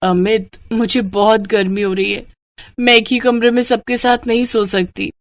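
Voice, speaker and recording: A woman's voice: on the high side, steady tone, normal speed, no room sound, natural voice. A woman voicing a stubborn, pampered rich young bride in a moral-story cartoon, pleading insistently and dramatically. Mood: upset, complaining.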